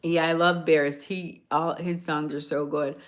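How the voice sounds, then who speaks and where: A woman from Jamaica chatting on a phone livestream, answering viewers' comments in a soft-spoken, sometimes hoarse voice. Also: low-pitched, flat delivery, a little slow. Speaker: livestream host. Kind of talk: casual livestream chat with viewers.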